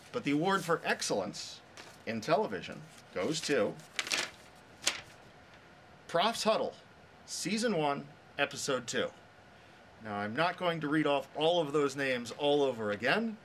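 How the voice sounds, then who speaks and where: Lively middle-aged man presenting, noisy room. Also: medium pitch. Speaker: professor, festival director and presenter. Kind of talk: scripted awards show presentation. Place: TV control room.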